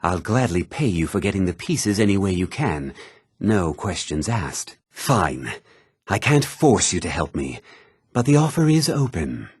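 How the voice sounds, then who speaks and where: An actor playing an obsessed, earnest museum keeper with cult roots in a fantasy video game, in a theatrical, rough-edged voice. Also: male voice, sits low, very animated, measured pace, no room sound, studio quality, heavily acted. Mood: businesslike, eager, then resigned, firm. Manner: theatrical, earnest, swinging delivery. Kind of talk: scripted video game dialogue.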